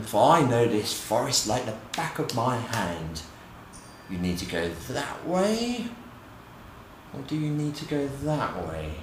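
Casting: swaggering outlaw hero played by a man, grandiose pantomime delivery, swooping intonation, echoey room. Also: medium pitch. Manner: grandiose, deliberate. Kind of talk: hammy children's pantomime acting. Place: indoor room, virtual background. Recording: echoey room with faint noise.

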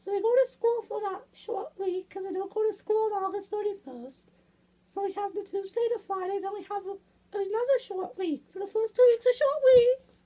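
A woman voicing a bossy, excitable teenage cartoon girl with a squeaky, scolding manner in an improvised character play. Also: moderate pace, rough recording. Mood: chatty, fussy.

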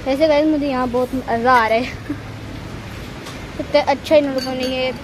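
A woman's voice: sits high, steady tone, measured pace, low-quality recording. A young girl chatting casually to her phone camera at a restaurant terrace, calling her viewers guys. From Pakistan. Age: child. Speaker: child vlogger. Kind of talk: casual vlog chat to camera. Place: large open-air restaurant terrace. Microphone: phone camera held close. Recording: loud background noise, restaurant terrace.

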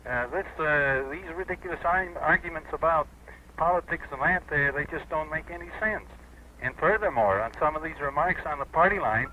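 An American man calling a radio phone-in with an opinionated, halting, indignant complaint. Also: on the high side. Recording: muffled phone line, faint noise.

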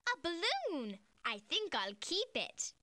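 A cheerful little schoolgirl from a cartoon game. She speaks slowly and sweetly in a high, lilting voice. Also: huge pitch swings, full character voice. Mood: cheerful, helpful. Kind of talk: children's cartoon game acting.

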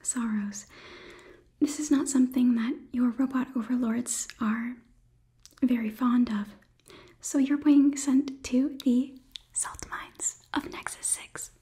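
A young woman performs a whispered ASMR roleplay as a condescending robot overlord. Her soft, breathy voice sits fairly high and mellow, and she moves slowly through formal, deliberate sentences, close to the microphone. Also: fairly even intonation. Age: 20s. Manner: formal, measured, deliberate phrasing. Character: polite, condescending robot overlord examining a human. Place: small indoor studio set. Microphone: close microphone, off camera.

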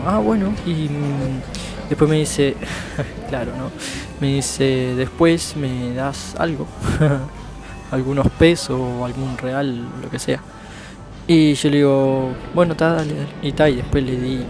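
A man's voice: medium pitch, vocal fry. A man in his thirties with a Uruguayan accent, talking casually to viewers while he walks around town and through shops. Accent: Uruguayan Spanish. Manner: chatty, addresses viewers as "gente". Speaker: travel vlogger. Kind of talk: casual walking vlog. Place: city streets and inside shops. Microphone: action camera held close.

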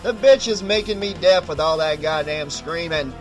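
A man voicing a crude, annoyed male passenger in an audiobook, loud and complaining. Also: fairly high pitch, normal speed, soft background music, lightly acted.

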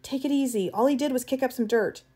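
Male safari assistant, audiobook, woman reader: practical, brisk, level-headed explainer. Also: sits high, dark tone, breathy edge, voice pushed up. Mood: urgent, calming.

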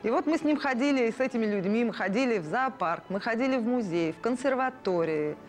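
A woman's voice: fairly high pitch, expressive, fast talker, over music. A woman in her thirties reminiscing in an interview in an effusive, emphatic way. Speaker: animation artist interviewee. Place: indoor studio room with puppets.

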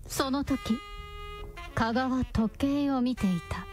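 Female drama narrator: slightly high voice, slow measured storytelling, over prominent music. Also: a little mellow.

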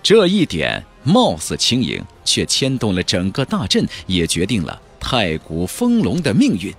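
A man narrating a fantasy audiobook in a theatrical, expressive storytelling manner. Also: fairly low pitch, measured pace, breathy edge. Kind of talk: dramatic scripted narration of a fantasy novel. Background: background music.

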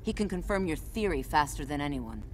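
A woman's voice: deep voice, lively intonation, brisk, slight background noise. An actress playing a proud, imperious female Amazon warrior in a video game, speaking brusquely. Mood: impatient. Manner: brusque, impatient, haughty. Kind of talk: video game cutscene acting.

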